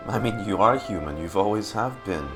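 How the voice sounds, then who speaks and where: A man doing casual, expressive live game commentary, informally muttering to himself with a creaky voice. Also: on the low side, moderate pace. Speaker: streamer reacting to a game. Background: game music.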